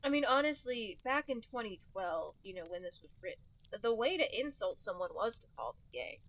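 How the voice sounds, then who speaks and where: A woman's voice: medium pitch, big pitch swings, brisk, fairly bright tone. Giggly woman podcast co-host. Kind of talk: casual chat.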